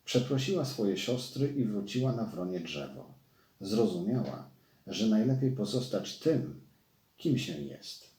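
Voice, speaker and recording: A man's voice: on the low side, lively intonation, measured pace, dark tone. A man reading a children's fairy tale as a scripted storyteller. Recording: roomy echo.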